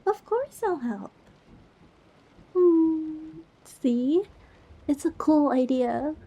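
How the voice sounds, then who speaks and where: A woman playing a goofy, affectionate young girlfriend in an ASMR roleplay, talking tenderly and playfully to comfort a scared partner in a soft, breathy voice. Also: sits high, animated, extremely slow, muffled recording, full character voice. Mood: cozy, caring. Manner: playful, rambling, tender, reassuring. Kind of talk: ASMR girlfriend roleplay, intimate one-sided dialogue.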